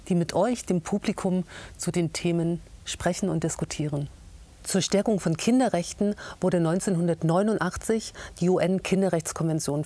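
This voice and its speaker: A woman in her forties introducing a film series to camera in an empty cinema, speaking in a measured, clear presenting manner. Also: fairly low pitch, mellow.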